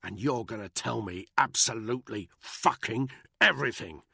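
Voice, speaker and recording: A man's voice: mid-range voice, moderate pace, gravelly, sharp tone, breathy edge, no room sound, clean studio sound, pitched above the performer's own voice, exaggerated voice. A man voicing a hard, furious soldier who growls with contempt. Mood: menacing.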